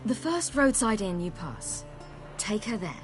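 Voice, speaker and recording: A woman's voice: medium pitch, sing-song, unhurried. An actress playing a free-spirited, self-assured young woman in a video game, speaking frankly and thoughtfully. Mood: confident, frank. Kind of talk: video game dialogue acting. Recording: music playing behind the voice.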